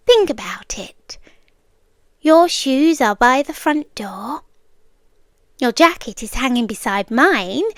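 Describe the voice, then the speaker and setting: A British woman playing an obsessive, possessive young girlfriend in an intimate ASMR roleplay, soft and deliberate, sweetly menacing, slipping into whispers. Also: sits high, dry recording, clean studio sound. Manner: slow, deliberate, coaxing, with pauses and whispered words. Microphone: close, dry microphone.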